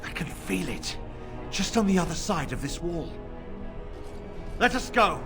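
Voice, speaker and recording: Earnest, expressive, pious fantasy templar. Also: male voice, high-pitched, music in the background. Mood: solemn, wary. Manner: formal, measured, solemn. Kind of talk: video game drama acting.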